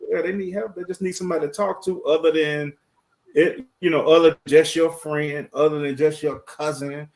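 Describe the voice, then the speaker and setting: A man's voice: on the high side, steady tone, normal speed, gravelly. A man hosting a casual talk show, giving earnest advice in a colloquial way. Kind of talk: casual chat.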